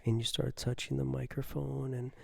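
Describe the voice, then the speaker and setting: A man's voice: mid-range voice, little pitch movement, normal speed, gravelly. A young man co-hosting a podcast, talking in a loose, rambling way and laughing often. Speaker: podcast co-host. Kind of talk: casual chat.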